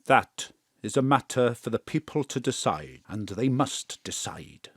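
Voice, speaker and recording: A man's voice: medium pitch, expressive, a little slow, fairly bright tone, slightly rough, dry recording, studio quality, exaggerated voice. An actor playing a grave, portentous male First Minister making pompous pronouncements in an audio drama.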